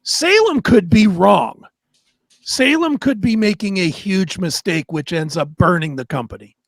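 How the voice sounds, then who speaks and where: Male talk co-host, very high voice, blunt, opinionated, casual swearing, dry close sound. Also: lively intonation, unhurried, gravelly, no echo. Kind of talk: casual, profane talk show banter.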